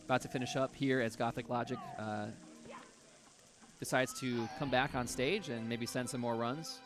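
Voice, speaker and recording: A man doing live commentary on a speedrun, relaxed and chatty, explaining tricks and laughing often. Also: medium pitch, normal speed. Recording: music playing behind.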